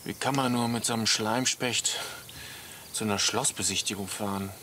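An actor playing a middle-aged camper husband with a dry, smugly teasing way of talking in a German radio comedy. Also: male voice, fairly low pitch, steady tone, moderate pace, pushed and bright, slight background noise. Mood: hungover, dry.

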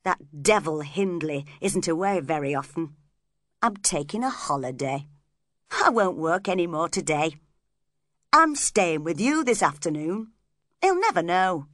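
A woman's voice: fairly low pitch, very animated, measured pace, a bit bright, no echo, clean studio sound. A woman voicing a resentful, jealous young man in a storybook, with a complaining, emphatic manner. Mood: defiant.

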